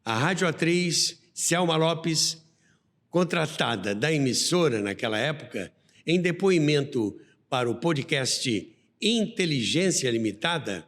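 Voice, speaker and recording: Slow, animated Brazilian man with long reverb. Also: a little high. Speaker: podcast host and narrator. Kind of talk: scripted radio history narration with storytelling asides. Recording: long reverberant hall sound.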